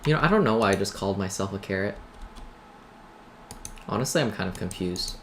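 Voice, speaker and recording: A man's voice: fairly low pitch, animated, fairly quick. Rambling man doing casual gaming commentary. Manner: self-deprecating, tongue-in-cheek asides. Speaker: gaming video host. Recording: noisy home mic, keyboard clatter. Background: typing and background noise.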